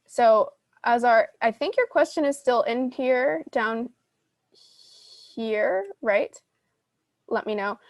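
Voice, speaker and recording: A woman's voice: fairly high pitch, slow, long pauses, no room sound, clean studio sound. A woman answering audience questions in a casual teaching session, conversational and thinking aloud as she explains. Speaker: podcast host teaching a live Q&A session.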